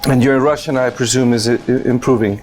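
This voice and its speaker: Interview host, mid-pitched man, stumbling and restarting, faint music in the mix. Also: steady tone, a little fast, a little mellow. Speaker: talk show host. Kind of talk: interview questions.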